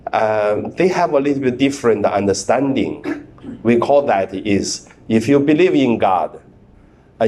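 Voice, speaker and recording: A man, a priest whose first language is Mandarin, preaching a sermon in halting speech with many fillers and repeated words. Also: mid-range voice, darkish tone.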